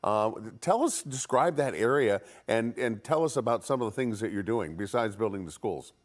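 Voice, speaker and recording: A man's voice: on the low side, lively intonation, normal speed. An American man co-hosting live television, asking questions in a casual, conversational way. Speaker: TV news co-host.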